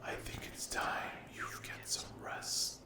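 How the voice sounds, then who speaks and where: A man playing a menacing, hungry sleep paralysis demon in a whispered ASMR roleplay, breathy and deliberate, taunting the listener. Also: reverberant, close to an everyday voice. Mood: possessive, commanding. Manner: very slow, deliberate, menacing, with long pauses.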